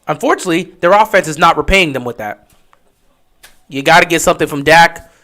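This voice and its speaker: A man giving casual live play-by-play commentary on a stream, getting excited and loud on big plays. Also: on the high side, fairly quick. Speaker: livestream game commentator.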